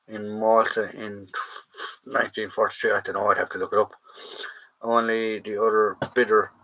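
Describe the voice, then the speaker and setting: A man's voice: lowish voice, a bit bright, low-quality recording. An Irish man hosting a live chat, casually reading out and answering viewer comments, trailing off and restarting.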